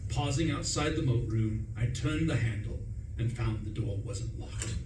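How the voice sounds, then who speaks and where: A man playing a foppish, self-important but amiable young English gentleman, narrating a radio comedy in a chatty, pompous way with old-fashioned slang. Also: mid-range voice, a little slow, close to an everyday voice. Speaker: radio play performer. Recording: echoey room, distant mic, noisy.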